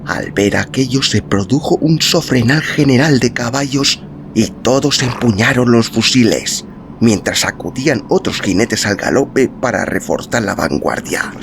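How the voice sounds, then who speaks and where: A man narrating a novel in a solemn, expressive, dramatic storytelling style, with music behind him. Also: medium pitch, a little slow, gravelly, noisy background. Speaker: audiobook narrator. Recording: music bed under narration.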